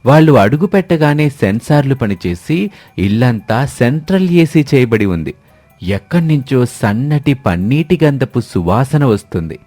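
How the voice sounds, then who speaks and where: A man's voice: mid-range voice, big pitch swings, normal speed, dark tone, no echo, soft background music. Male storyteller narrating a moral tale.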